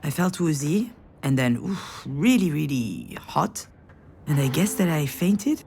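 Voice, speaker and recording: Game heroine: sardonic, worn-out screenwriter, unhurried confessional delivery, lowish voice, faint noise behind. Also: female voice, very animated, a little mellow, heavily acted. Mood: groggy, wry. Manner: wry, conversational, dry humour. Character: weary, self-mocking failed screenwriter woman, wry and sardonic. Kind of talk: first-person game narration, confessional.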